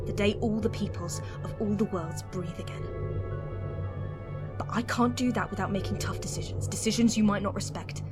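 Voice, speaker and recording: A woman's voice: mid-range voice, moderate pace, close to an everyday voice. A woman playing a defiant, weary alien time traveller in an audio drama, intense, wry and declamatory. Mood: grim resolve. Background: loud music and sound effects.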